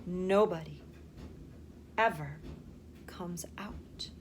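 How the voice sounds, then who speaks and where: A woman voicing an old grandfather telling a storybook tale, secretive and excitable, with slow dramatic pauses. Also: fairly low pitch, a little hiss or hum. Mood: hushed, mysterious.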